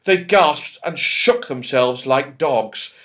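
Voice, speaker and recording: A man reading a children's storybook aloud, expressive and lively, with voices for the characters. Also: medium pitch, slow. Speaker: audiobook reader.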